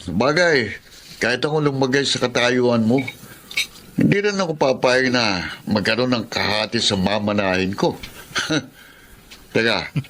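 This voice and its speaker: A man's voice: mid-range voice, measured pace, long pauses, a bit bright, a little hiss or hum, close to an everyday voice. A man playing a chummy drinking buddy who eggs on his friend in a Filipino radio drama. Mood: conspiratorial. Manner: jolly, chummy. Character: jovial, envious-minded drinking buddy egging on his friend.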